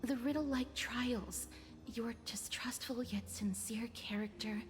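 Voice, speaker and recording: A woman's voice: a little high, measured pace, close to an everyday voice. A woman voicing an earnest, composed young heroine who lays out her reasoning. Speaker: game character. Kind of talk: video game voice acting. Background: game music.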